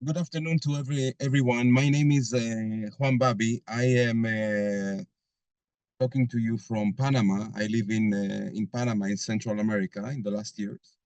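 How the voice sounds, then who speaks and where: A man giving a webinar presentation as lead instructor over a video call, pausing often with filler sounds. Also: on the low side, steady tone, a little mellow, no room sound. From Argentina. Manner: hesitant, many filler sounds.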